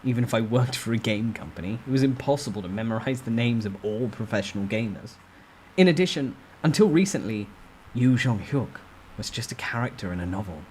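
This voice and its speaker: A man narrating a first-person novel in a dramatic, expressive storytime ASMR style. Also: mid-range voice, fairly quick, slight background noise, poor audio.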